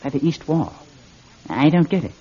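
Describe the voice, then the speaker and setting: An actor in a radio drama playing a weak-willed, fretful brother who is easily bossed around. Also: male voice, a little high, very animated, moderate pace, warm tone, husky, dull audio, heavily acted. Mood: nervous, reluctant. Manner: whiny, fretful, protesting.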